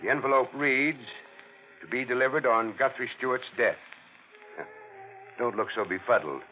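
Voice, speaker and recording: A man's voice: mid-range voice, moderate pace, lightly acted. A man playing a dogged, sardonic police captain with a needling, colloquial manner in an old radio thriller. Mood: smug, insistent. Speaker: actor. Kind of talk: 1940s radio thriller acting. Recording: tinny vintage radio, faint music.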